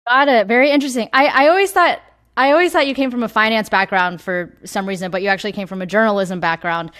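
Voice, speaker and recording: A woman's voice: mid-range voice, fast talker, a bit bright. A woman hosting a podcast, asking friendly, prompting questions. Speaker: podcast host. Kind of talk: interview questions.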